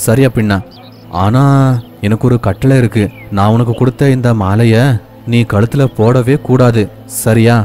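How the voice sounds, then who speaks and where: A man playing a poor, regretful young husband who tells his tale in a measured, earnest way for an animated bedtime story. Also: medium pitch, close to an everyday voice.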